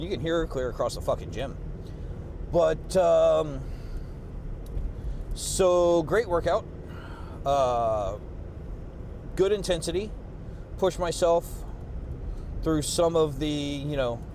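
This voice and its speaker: A worn-out man talking casually after a workout, rambling and swearing with lots of fillers, on a clip-on mic under his jacket. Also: medium pitch. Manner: rambling, profane, with many fillers and restarts. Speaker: fitness vlogger. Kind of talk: casual post-workout vlog talk. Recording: noisy outdoor street recording. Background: traffic and street noise.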